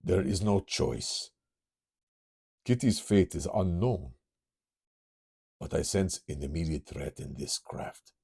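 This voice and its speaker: A man's voice: sits low, animated, slow pace, creaky, long pauses, mellow, slightly rough, full character voice. A man voicing a grave, commanding male hero with a put-on German-Russian accent in a comic book reading. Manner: solemn, formal, stately.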